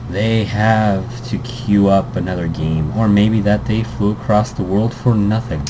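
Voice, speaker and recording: Young guy reading a visual novel for a let's play, low voice, jokey tangents, ac hum behind. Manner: joking asides, frequent laughs and fillers.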